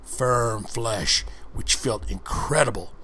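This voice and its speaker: A man's voice: on the low side, slow, pushed and bright, a little hiss or hum. A man doing a casual ASMR-style book reading, breaking off into crude, slangy, folksy asides. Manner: crude, slangy, folksy commentary between passages. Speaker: host reading an erotic book aloud.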